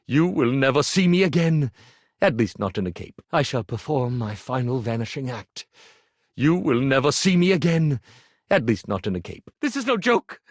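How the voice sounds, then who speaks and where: A man's voice: mid-range voice, no echo, clean studio sound. A man voicing a video game character, a theatrical ironing board who fancies himself a grand illusionist, florid, melodramatic and easily flustered. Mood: despairing, melodramatic. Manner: flamboyant, melodramatic, florid wording with flustered hesitations.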